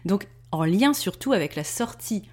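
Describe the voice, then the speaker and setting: A woman's voice: medium pitch, normal speed. A woman hosting a podcast interview, introducing her guest and asking questions with enthusiasm. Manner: lively, enthusiastic. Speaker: podcast host.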